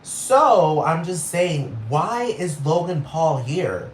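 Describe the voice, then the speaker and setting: A man's voice: on the high side, very animated, takes it slowly, slight background noise. A young man with a Puerto Rican accent, hosting a solo podcast in a rambling, profane rant that keeps asking if you understand. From Puerto Rico, raised in Texas. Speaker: podcast host. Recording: roomy room with faint ambience.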